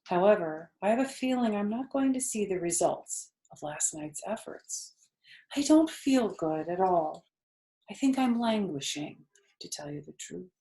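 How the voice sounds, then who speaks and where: A woman voicing an aging female spider for a children's storybook, weak and quiet, dignified and resigned. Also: medium pitch, expressive, a little slow, natural voice. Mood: sad, weary.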